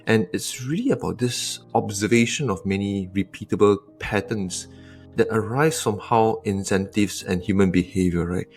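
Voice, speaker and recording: A man's voice: lowish voice, normal speed, soft background music. A man from Singapore, answering interview questions thoughtfully, often restarting and adding tag questions. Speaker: investor guest.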